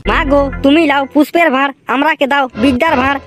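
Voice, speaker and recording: Man voicing a high-pitched grumbling cartoon village priest. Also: fairly even intonation, quick pace, over loud music. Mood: chanting, instructing. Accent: Purulia Bengali. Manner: scolding, rustic, exclamatory. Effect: pitch-shifted up. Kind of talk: comic cartoon dialogue. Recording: clearly noisy track with clicks.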